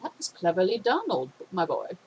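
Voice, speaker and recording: A mild, courteous older gentleman, as a woman narrator puts him on, speaks in a soft, fond, old-fashioned way. The voice is a little phlegmy, with faint background hiss. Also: lowish voice, huge pitch swings, a little fast, dull audio. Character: gentle, formal older male caretaker.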